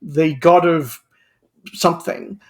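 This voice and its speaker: A man's voice: on the high side, takes it slowly, gravelly. A man speaking as a podcast guest in an opinionated discussion, stressing his points by repeating words and phrases. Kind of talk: animated opinionated panel discussion.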